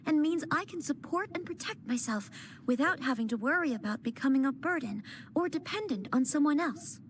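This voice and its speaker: An earnest young noblewoman, speaking formally and with resolve. Her voice is high and clear, with a faint hiss of noise behind it. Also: animated, fairly quick. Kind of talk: video game voice acting.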